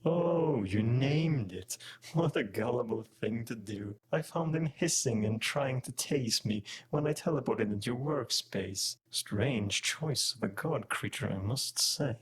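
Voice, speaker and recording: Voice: medium pitch, lively intonation, measured pace, husky. An actor playing an arrogant, ancient robotic alien overlord in a sci-fi audio drama, condescending and menacing. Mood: mocking.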